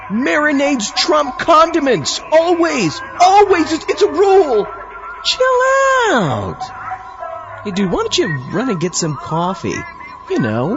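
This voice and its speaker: A man's voice: high voice, full character voice. A man voicing a petulant, indignant zombie astronaut who bickers with his own double in a comic radio skit. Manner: exclamatory, swooping, indignant. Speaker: show host. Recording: dull lo-fi with music behind.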